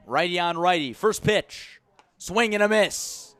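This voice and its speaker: A man doing live radio baseball play-by-play as the announcer, with excited calls on the big plays. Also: high voice, measured pace, clear, resonant tone, no echo. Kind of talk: live sports commentary.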